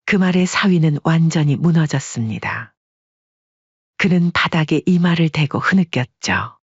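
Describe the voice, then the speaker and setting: Husky woman narrating a story. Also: low voice, no room sound, dull audio. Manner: even, measured storytelling.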